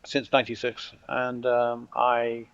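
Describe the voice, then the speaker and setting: A man's voice: medium pitch, slow pace, clear, resonant tone, dry recording. Middle-aged man, mixed British-American accent. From Britain. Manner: relaxed, wry, talks to his troops jokingly. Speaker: gameplay commentator. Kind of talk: casual strategy game commentary. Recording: dull lo-fi audio.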